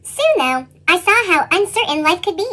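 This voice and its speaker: A woman reading a children's picture book as an earnest young boy, with a squeaky pitched-up chipmunk effect. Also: normal speed, exaggerated voice. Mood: matter-of-fact. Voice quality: squeaky, sped-up chipmunk sound. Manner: animated children's read-aloud delivery. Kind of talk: scripted picture-book narration.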